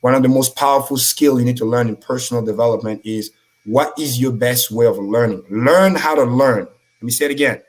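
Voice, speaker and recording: A man with a middle-pitched voice whose first language is French talks in a casual, high-energy way. He preaches self-improvement on a livestream and swears freely. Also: fairly quick. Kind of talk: casual motivational talk.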